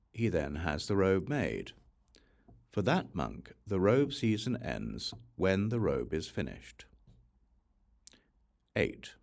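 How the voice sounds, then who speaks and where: A man reading a scriptural audiobook in a measured, reciting manner, breaking sentences into short phrases. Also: sits low. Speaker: audiobook reader.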